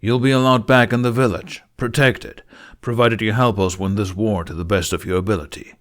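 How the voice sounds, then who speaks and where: A man playing a stern middle-aged Viking chief in an audiobook, with a gruff, measured and commanding delivery. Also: on the low side, fairly even intonation, close to an everyday voice. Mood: formal, firm. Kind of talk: audiobook character acting.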